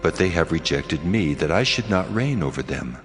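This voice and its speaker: A man's voice: deep voice, very animated, normal speed, over music. A man playing God, solemn and commanding, in a dramatized scripture reading.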